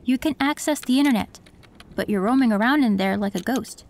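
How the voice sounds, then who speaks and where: A woman voicing a female cyberpunk tech who works on an android, calm and matter-of-fact, in an audio roleplay. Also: fairly high pitch, brisk, a little hiss or hum, close to an everyday voice.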